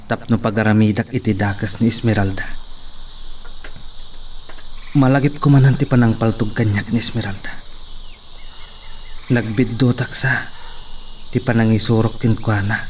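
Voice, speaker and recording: An actor playing an anxious young farm worker in a radio drama. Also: male voice, lowish voice, steady tone, moderate pace, pauses often, darkish tone, slight background noise, close to an everyday voice. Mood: brooding.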